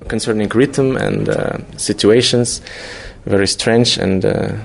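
Low, creaky Ukrainian-accented man, halting. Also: wildly swooping pitch. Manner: halting, searching for words in non-native English. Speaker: filmmaker being interviewed. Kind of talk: conversational interview.

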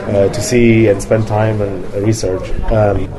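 A man who grew up in London, a film director answering interview questions in a halting way, with many fillers and restarts. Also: lowish voice, level tone, low-quality recording. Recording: crowd noise behind.

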